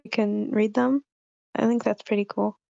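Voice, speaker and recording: A woman's voice: medium pitch, flat delivery, moderate pace, gravelly, warm tone, dry recording. A woman giving casual feedback in an informal group chat, with dull, lo-fi sound. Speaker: table-read participant giving feedback. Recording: dull lo-fi call audio.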